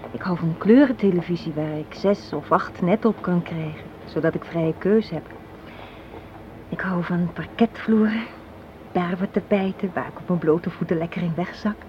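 An actress playing a sharp-tongued, unsentimental young woman in a radio play, sardonic and matter-of-fact as she argues. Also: on the low side, natural voice. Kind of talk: Dutch radio drama acting. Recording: muffled, noisy old radio play recording.

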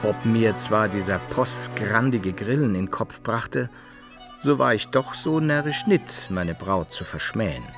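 Low creaky storytelling adventurer. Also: male voice, expressive, measured pace, over music. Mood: wry, reflective. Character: adventurer recounting his own life, wry and reflective. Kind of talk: first-person storytelling in archaic German, radio play.

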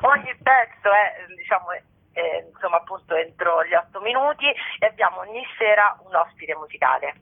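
A woman's voice: on the low side, animated, a little fast, vocal fry, husky. A woman chatting casually by telephone as an actress interviewed on the radio. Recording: tinny, narrow telephone line.

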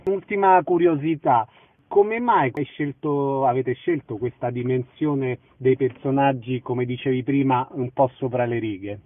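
A man's voice: mid-range voice, a little slow, no room sound. A man working as an interviewer, putting questions in a conversational way. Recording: dry, tinny phone-like radio sound.

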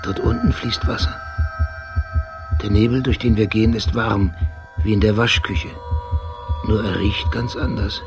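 A man playing a brooding, obsessive police detective, narrating precisely and introspectively in short measured sentences in a radio drama. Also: lowish voice, steady tone, over music. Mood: tense, wondering. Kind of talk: literary radio drama monologue.

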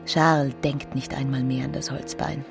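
A low-voiced, discontented wife. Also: female voice, little pitch movement, moderate pace, creaky. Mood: weary contempt. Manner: brooding, intimate, sometimes murmured. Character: bored, discontented young wife, secretly contemptuous. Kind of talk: German radio drama acting. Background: music.

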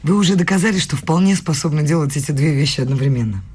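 An actress playing a proud, wounded young student in a radio play, with a low husky voice and pointed delivery. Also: female voice, fast, darkish tone. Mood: hurt, accusing. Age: young adult. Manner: pointed, challenging.

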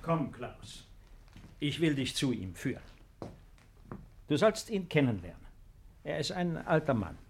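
An actor playing a principled middle-aged father and estate owner in 1950s radio drama style, speaking in measured, deliberate, earnest phrases. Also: a little high, big pitch swings, slight background noise. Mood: measured, explaining.

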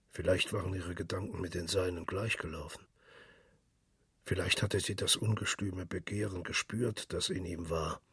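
A man reading scripted literary narration in a husky, gravelly voice, with a measured, intimate storytelling manner. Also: sits low, bright, forceful tone, no echo, clean studio sound.

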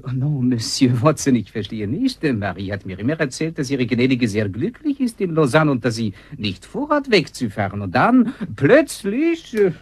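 An anxious, guarded waiter. His husky voice rises and falls sharply as he hesitates, flustered, in a radio play. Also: mid-range voice, very animated, moderate pace, hardly any pauses. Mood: bewildered. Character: anxious, guarded French-speaking head waiter, young fiancé. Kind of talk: German radio drama acting.

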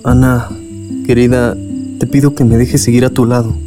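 A man playing a gentle, apologetic, pleading sweetheart in a radio drama. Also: mid-range voice, little pitch movement, normal speed, over music, natural voice. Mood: tender.

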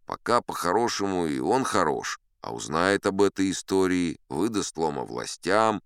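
An actor playing a seasoned, unflappable sea captain who spins tall tales in a chatty, wry, theatrical audiobook manner. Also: male voice, low voice, big pitch swings, moderate pace, fairly bright tone, clear, resonant tone, no room sound, studio quality, full character voice. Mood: animated, confiding. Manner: conversational, wry, leisurely asides to the listener. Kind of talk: theatrical audiobook storytelling in first person.

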